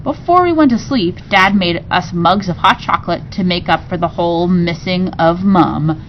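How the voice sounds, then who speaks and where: A woman reading a storybook aloud expressively, pausing to explain things to her listeners. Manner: expressive, acts out dialogue, pauses to explain pictures. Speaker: teacher reading aloud to students. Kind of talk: storybook read-aloud with asides. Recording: muffled recording with clear rumbling noise.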